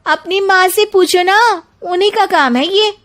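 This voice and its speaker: A woman's voice: very high voice, unhurried, fairly bright tone, voice pushed up. A woman voicing a sarcastic, stubborn young daughter-in-law in a family story, with a taunting manner. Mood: indignant, sarcastic. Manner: sassy, taunting.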